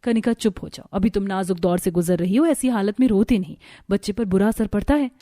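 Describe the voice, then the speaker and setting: A woman voicing a caring, protective young daughter-in-law in a cartoon, earnest and motherly, gently scolding. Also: mid-range voice, fairly quick, close to an everyday voice. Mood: soothing.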